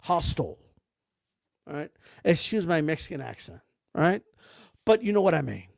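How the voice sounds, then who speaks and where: Give me a high-voiced man ranting bluntly about boxing on a dry close mic. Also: very animated, moderate pace, gravelly, no room sound. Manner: rambling, blunt, peppered with slang and cursing. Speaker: boxing commentary streamer. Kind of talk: casual ranting commentary.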